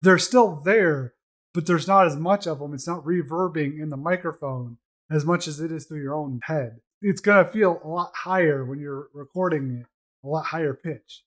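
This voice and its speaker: Male co-host, slightly high voice, casual explainer who talks things through. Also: moderate pace, a bit bright. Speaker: podcast co-host. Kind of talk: casual chat.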